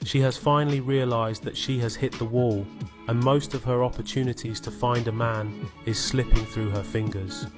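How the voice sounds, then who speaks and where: A man of average pitch narrates in a scripted voice-over style, commenting as a reaction host would. Music plays clearly underneath his voice. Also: fairly even intonation, moderate pace. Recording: music playing behind the voice.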